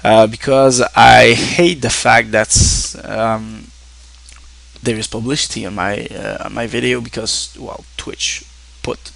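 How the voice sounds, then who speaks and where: A man from Quebec whose first language is French, speaking English with a Québécois French accent, talking casually to his viewers in a halting way with many fillers and restarts. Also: medium pitch, steady tone, gravelly, fairly bright tone, rough recording. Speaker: streamer. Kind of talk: casual thank-you talk to viewers.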